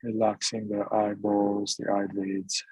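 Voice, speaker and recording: A man leading a guided meditation as a teacher, speaking gently in short, sparse phrases with long pauses. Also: lowish voice, monotone, vocal fry, slightly rough, no room sound, poor audio. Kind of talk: guided meditation, mindfulness instruction.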